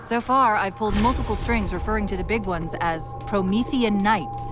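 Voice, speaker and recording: A woman voicing a quick-witted young female military AI in a video game, with articulate, urgent briefing delivery. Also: medium pitch, music in the background, close to an everyday voice. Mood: focused, intrigued.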